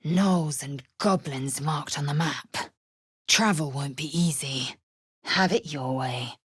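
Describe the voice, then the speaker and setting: Casting: proud, fierce alien warrior woman for a fantasy game; low husky voice, curt and scornful, very dry sound. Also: slow pace, dry recording, full character voice. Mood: stern, commanding. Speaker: voice actress in a fantasy video game. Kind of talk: dramatic fantasy game voice lines.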